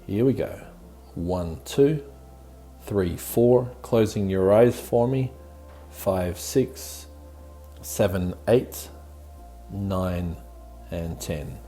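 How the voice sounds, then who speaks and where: Slow, low-voiced man guiding meditation. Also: animated. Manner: soothing, gently encouraging. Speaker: guided meditation host. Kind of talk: slow guided meditation instruction. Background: background music.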